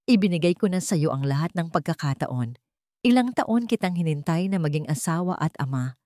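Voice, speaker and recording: A woman narrator voicing a wronged wife who is calm, firm and unforgiving in an audiobook drama. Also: low voice, normal speed, dry recording, clean studio sound. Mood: calm, final. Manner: calm, resolute.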